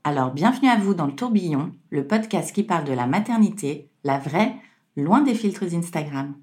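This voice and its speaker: A woman's voice: lowish voice, moderate pace. A woman hosting a podcast, reading a scripted introduction and then asking interview questions. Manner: steady read narration. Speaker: podcast host.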